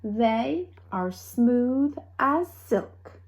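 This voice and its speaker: Woman voicing a vain hen with a slow drawl. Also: on the high side, animated, heavily acted. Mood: boastful, smug. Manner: long, slow, drawn-out drawl. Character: vain, haughty hen boasting of her eggs.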